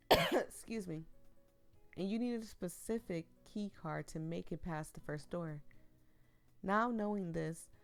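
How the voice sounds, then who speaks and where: A young woman reads a visual novel aloud, her voice a little hoarse as she gets over the flu. She keeps breaking off into giggly, rambling chats with her viewers, then drops back into reading. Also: fairly low pitch. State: losing her voice after the flu. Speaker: streamer playing a visual novel.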